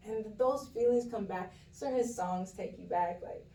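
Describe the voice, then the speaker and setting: Mellow young woman in a roomy space. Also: mid-range voice, measured pace. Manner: candid, reflective, slangy. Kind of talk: casual chat. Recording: roomy, distant mic with faint hum.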